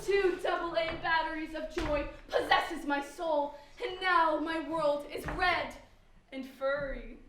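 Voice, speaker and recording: A woman's voice: high-pitched, slow pace. A young woman playing a pompous, gleefully greedy birthday child in a stage comedy, with theatrical, declamatory delivery. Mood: ecstatic. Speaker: amateur stage actor. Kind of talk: mock-Greek-tragedy stage comedy. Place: indoor stage, recorded from afar. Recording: echoey hall, distant mic, noisy.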